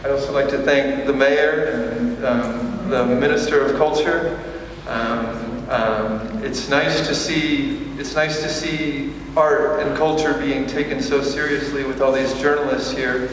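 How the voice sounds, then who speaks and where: A man talks slowly and haltingly, filling pauses with ums and starting sentences over. His voice is caught from a distance in a large, echoing hall. There is faint room noise behind him. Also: medium pitch, fairly even intonation. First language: English. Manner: hesitant, many ums and restarts. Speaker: exhibiting artist. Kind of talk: press conference remarks. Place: large echoey museum hall. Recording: echoey hall, distant mic.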